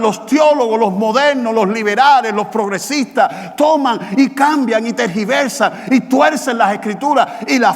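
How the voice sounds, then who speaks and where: A man preaching a sermon as pastor, with emphatic repetition, rising to loud passages and dropping to quiet, slow ones. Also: very high-pitched. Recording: long hall reverb.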